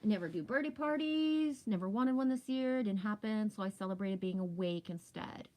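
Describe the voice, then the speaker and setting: A woman's voice: medium pitch, animated, moderate pace, a little mellow, slight background noise, poor audio. Woman around 50 doing a casual spiritual livestream, rambly and emphatic, keeps checking in with listeners. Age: about 50. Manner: candid, swears casually. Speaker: livestream host.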